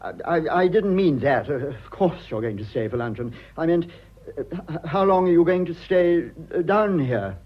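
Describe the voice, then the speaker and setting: Fussy, high-pitched upper-class Englishman. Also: lively intonation, normal speed, highs cut off. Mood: flustered, fussy. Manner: prim, fussy, flustered, earnest. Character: stiff, fussy upper-class English son, anxiously proper. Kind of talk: stage comedy of manners radio acting.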